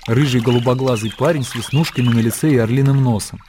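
Мужчина читает литературное повествование от автора в радиоспектакле. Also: male voice, mid-range voice, steady tone, fast talker, background noise. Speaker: narrator. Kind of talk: scripted literary narration in radio drama.

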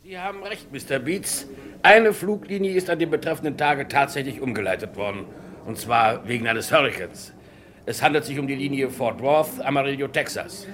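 An actor playing a polite, businesslike airport director in a vintage radio crime drama. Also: male voice, medium pitch, moderate pace, a bit bright, close to an everyday voice. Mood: obliging. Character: obliging middle-aged male airport director.

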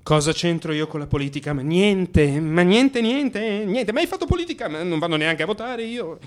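A man's voice: high voice, big pitch swings, moderate pace. A man narrating a theatrical first-person radio drama monologue, dramatic and changeable in his delivery.

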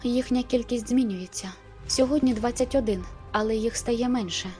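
A woman's voice: fairly high pitch, normal speed, mellow, faint music behind, natural voice. Woman voicing a self-assured, cool female vampire seer. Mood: matter-of-fact. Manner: confident, smug, soothing.

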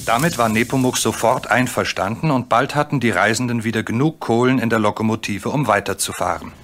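A man serves as narrator of a children's radio play. He reads scripted story passages in a medium-pitched voice. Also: brisk, a bit bright.

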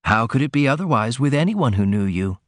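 Gentle middle-aged mother played by a male reader, calm, sympathetic, guiding, slightly raised voice, dry recording. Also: medium pitch, a little fast, dry recording, lightly acted. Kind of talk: audiobook character reading.

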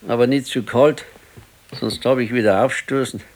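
A gloomy old husband in a naturalistic radio drama, played by a male actor. He speaks in a medium-pitched voice with a Bavarian accent and broods and complains in plain, colloquial words, fearful and worn by age. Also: fairly even intonation, moderate pace, close to an everyday voice. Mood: querulous, wistful. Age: 60s. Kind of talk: naturalistic radio play dialogue.

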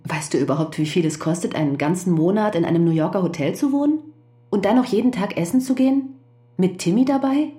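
A woman's voice: lowish voice, quick pace, dark tone, lightly acted. A woman playing a strained, devoted wife. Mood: pleading. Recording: roomy, echoey and set back from the mic.

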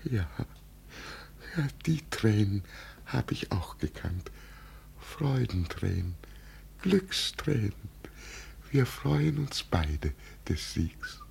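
Old self-styled emperor, creaky voice, wide swings of pitch, tremulous grandeur, 1950 radio play. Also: male voice, big pitch swings, very slow, pauses often, darkish tone, heavily acted. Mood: solemn, moved. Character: deluded old father who believes himself an emperor. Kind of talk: 1950 German radio drama acting. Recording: noisy vintage 1950 radio recording.

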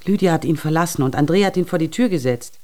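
A woman's voice: low voice, fast talker, a little mellow, close to an everyday voice. An actress in a German radio drama playing an evasive, offhand woman who answers curtly.

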